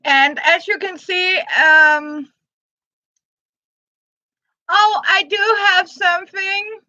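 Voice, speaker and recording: Live-stream host: Danish woman, very high bright voice, flat unhurried delivery, chatty and halting, dull lo-fi audio. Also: no room sound. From Jutland, Denmark. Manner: halting, restarts words, reads viewer comments aloud. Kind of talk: casual live-stream chat.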